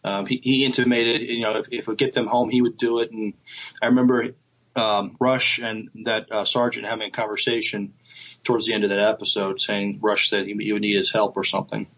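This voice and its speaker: A man's voice: lowish voice, level tone, fairly quick, fairly bright tone, rough recording. A man in a casual podcast discussion, talking in a hesitant, thoughtful way as he gives his opinions. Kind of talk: casual TV review chat.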